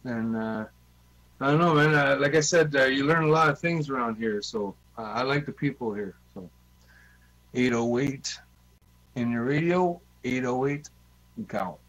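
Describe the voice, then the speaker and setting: A man's voice: medium pitch, measured pace, fairly bright tone, rough recording. A man, easygoing and repetitive, chatting casually in an online voice chat. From Ontario, Canada. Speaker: CB radio hobbyist.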